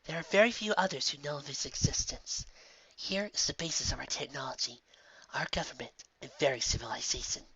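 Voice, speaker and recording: A narrator voicing a calm, regal female pony princess and mentor in a fantasy story, speaking in a measured, hushed way. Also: medium pitch, husky, no echo, muffled recording, exaggerated voice. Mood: grave.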